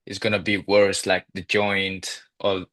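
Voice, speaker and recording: A man's voice: low voice, monotone, a little slow, a bit bright, dry recording. A young man from Italy with an Italian accent, whose first language is Italian, asking prepared interview questions carefully as a student. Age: young adult. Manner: reads questions carefully, with brief yeahs.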